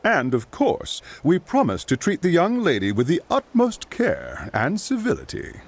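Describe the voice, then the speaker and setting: An actor playing a sly, fawning male aide to a count, with an oily, wheedling manner and a husky voice. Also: huge pitch swings, unhurried, heavily acted. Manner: oily, wheedling, pitch swooping widely. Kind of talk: dubbed video game cutscene.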